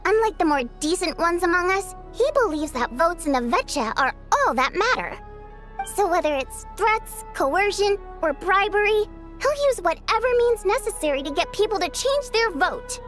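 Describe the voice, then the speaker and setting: A chatty little female game companion speaks in an extremely high, childlike voice. She explains things in knowing, slightly snarky asides, with lively swings of pitch and faint music behind. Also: normal speed. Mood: knowing, explanatory.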